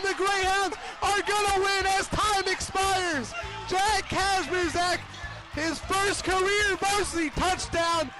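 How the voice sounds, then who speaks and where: A young American man does live sports play-by-play for radio in a strikingly high voice. His delivery shifts between measured description and excited, shouted calls. Music is clearly audible behind the broadcast.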